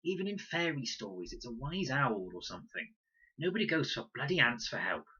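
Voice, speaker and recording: A man's voice: medium pitch, big pitch swings, brisk, sharp tone, slightly rough, muffled recording, voice pushed up, heavily acted. A man voicing a defiant, prickly schoolgirl in a sarcastic, snappy manner for a children's audiobook. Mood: scornful.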